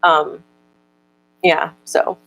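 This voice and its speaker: A woman's voice: a bit bright, low-quality recording. A young woman in casual chat, stuttering often with repeated words and broken-off syllables as she answers viewer comments. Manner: reads out viewer comments slower.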